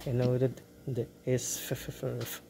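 A woman's voice: very deep voice, flat delivery, normal speed, gravelly, mellow. A woman with a Guyanese accent chatting casually to viewers while she cooks in her home kitchen, often repeating her words. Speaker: cooking vlogger. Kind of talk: casual cooking vlog chat. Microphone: handheld phone camera. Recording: noisy phone recording with frying sizzle.

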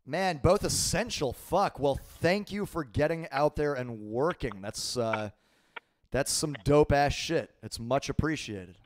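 A man in his thirties hosting a live call-in stream from a small home studio, talking loosely with lots of swearing while his voice is going hoarse. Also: fairly high pitch, a little slow, a little hiss or hum. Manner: loose, profane, laughing. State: losing his voice. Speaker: livestream host. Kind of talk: casual live call-in chat. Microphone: handheld microphone.

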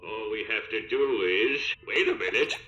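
A man's voice: lowish voice, moderate pace, natural voice. A man voicing a smug, scheming little cartoon villain in a video game, pompous and boastful. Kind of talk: animated video game acting. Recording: echoey, dull broadcast, clear noise.

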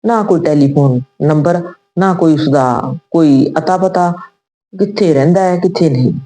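A woman's voice: low-pitched, normal speed, dark tone, soft background music. A woman voicing a blunt, questioning elderly village uncle in a told story. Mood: doubtful.

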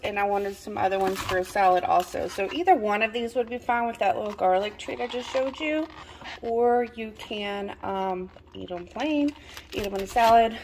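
A woman's voice: medium pitch, slight background noise. A woman chatting casually and enthusiastically as a vlogger in a home kitchen, recorded on a handheld phone. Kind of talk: casual grocery haul chat.

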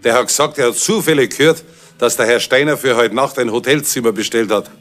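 An actor playing a chatty, gossiping police informant, in a 1970s radio crime play. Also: male voice, medium pitch, fairly quick, fairly bright tone, soft background music, natural voice. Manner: gossipy, confiding.

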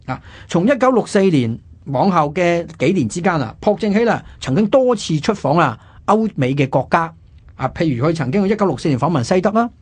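A husky man with a slightly high voice hosts a history show in Hong Kong Cantonese. He explains things conversationally, stretching out particles at the ends of phrases. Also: darkish tone. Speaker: radio programme host.

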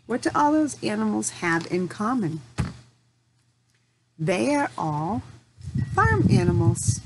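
A middle-aged woman leading a lesson for young children, asking simple questions, pausing for answers and encouraging them. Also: medium pitch, background noise. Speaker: teacher.

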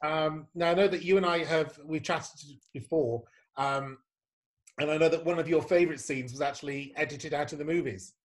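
A man's voice: a little high, steady tone, normal speed. A man hosting a casual interview over a video call from a home room, camp, playful and effusive. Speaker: host.

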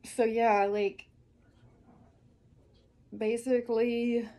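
A woman in her forties telling stories in an animated, casual chat with a friend, peppered with "like". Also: a little high, extremely slow. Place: small living room, indoors. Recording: clearly noisy room ambience.

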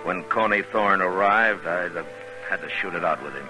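An actor playing a steady, weary Old West lawman in a 1950s radio western, plainspoken and measured. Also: low voice, music in the background, close to an everyday voice, poor audio. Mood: grim, narrating.

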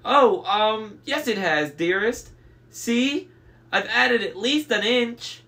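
Storybook satyr in disguise: high falsetto, coy flirting, hesitant and placating. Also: male voice, expressive, slow pace, pushed and bright, full character voice. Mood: coy, nervous.

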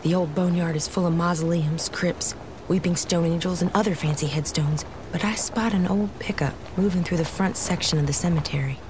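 A woman's voice: fairly low pitch, fairly even intonation, brisk, noisy background, natural voice. An actress playing a confused young woman left for dead, narrating her story in a casual, wry, hesitant way for an audio drama. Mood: wary. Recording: dramatic music behind the voice.

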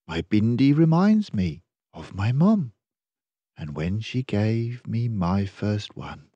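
Gentle storybook mother read by a man, mellow, slow, patient, explanatory. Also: lowish voice, sing-song, no room sound, highs cut off, full character voice. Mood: fond.

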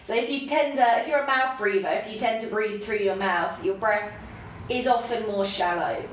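A young woman with a stuffy nose from hay fever, teaching a casual yoga class at home, chatty with laughing asides, then slow and calm cues. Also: mid-range voice. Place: home room with yoga mat. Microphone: camera across the room. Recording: very echoey room, faint background hiss.

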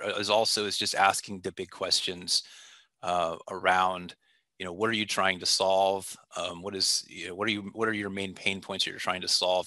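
A man's voice: low voice, sharp tone, dry recording. A man in his thirties answering interview questions over a video call on a headset mic, thoughtful and full of fillers and restarts. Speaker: warehouse technical product manager. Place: small room indoors.

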